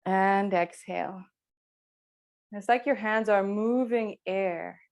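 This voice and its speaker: Calm woman guiding a slow yoga class. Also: medium pitch. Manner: calm, unhurried, gently encouraging. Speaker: yoga teacher. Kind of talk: guided slow movement and breathing instruction.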